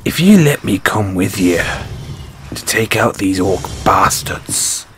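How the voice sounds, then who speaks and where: Man playing a slow, deep-voiced dwarf blacksmith. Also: lively intonation, a bit bright, slight background noise, exaggerated voice. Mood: friendly, bargaining. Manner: hesitant, folksy, avuncular, addresses listener as lad. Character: kind gruff dwarf blacksmith, former adventurer, hearty and loyal. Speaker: audio roleplay performer. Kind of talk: fantasy audio roleplay acting, second-person dialogue.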